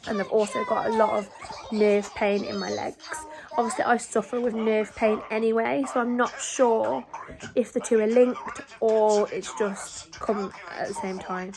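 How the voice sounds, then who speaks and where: A woman vlogging a home video diary while ill, her voice croaky and tired, talking plainly with many pauses and ums. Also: a little high, steady tone, a crowd in the background, poor audio. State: croaky voice from illness. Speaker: family vlogger. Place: family home.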